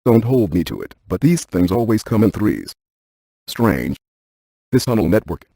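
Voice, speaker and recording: A man playing a brainy, stiff ghost-hunting scientist in a video game, speaking in a precise, clinical deadpan. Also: on the low side, measured pace, vocal fry, breathy edge, no echo, exaggerated voice. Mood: deadpan, analytical.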